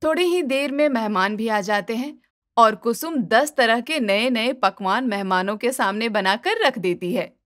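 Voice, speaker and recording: A woman's voice: a little high, expressive, measured pace, fairly bright tone, dry recording. Woman storyteller, dry close sound. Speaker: narrator. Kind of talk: scripted moral-story narration.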